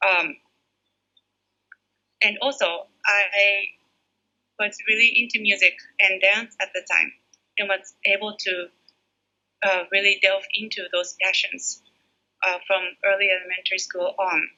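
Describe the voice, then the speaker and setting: A middle-aged woman from Japan, raised in the United States, a preschool director giving thoughtful interview answers with many pauses. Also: mid-range voice, fairly even intonation, bright, forceful tone. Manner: thoughtful, pauses often with fillers. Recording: muffled lo-fi audio.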